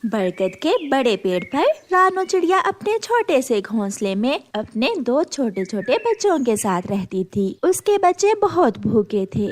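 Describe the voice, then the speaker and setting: A woman's voice: on the high side, big pitch swings, measured pace, hardly any pauses. A woman narrating a scripted children's story as the storyteller.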